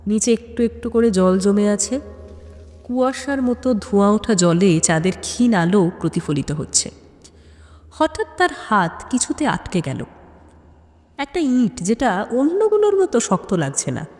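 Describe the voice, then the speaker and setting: A woman delivers dramatic suspense narration for an audio story. She phrases it in measured pieces with tense pauses. Her voice carries a long, hall-like reverb. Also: mid-range voice, big pitch swings, darkish tone.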